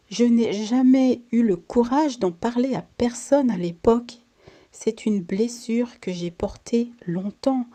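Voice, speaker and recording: A woman's voice: medium pitch, dark tone, dry recording. A woman reading a scripted first-person life story as its narrator.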